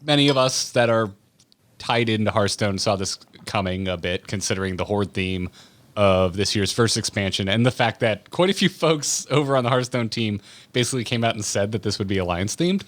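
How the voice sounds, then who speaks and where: A man's voice: lowish voice, lively intonation, brisk. A man hosting a podcast interview in a casual, conversational way. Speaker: podcast host.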